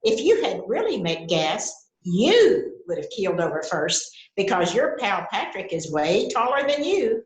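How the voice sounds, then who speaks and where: A woman's voice: mid-range voice, very animated, measured pace, hardly any pauses. A woman playing a shrewd, matter-of-fact doctor who helps solve murders in an amateur mystery play. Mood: explaining. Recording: echoey room sound.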